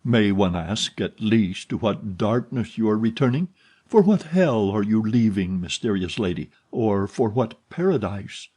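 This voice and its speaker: A man's voice: lowish voice, expressive, unhurried, dark tone, husky. A man voicing a jealous, bitter young man in an audiobook reading, delivering impassioned and sarcastic outbursts.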